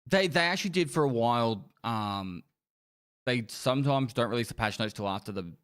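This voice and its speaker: A man's voice: medium pitch, expressive, moderate pace, a bit bright, clear, resonant tone. A man hosting a podcast, chatting in a jokey, exasperated way. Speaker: podcast host. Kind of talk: casual podcast chat.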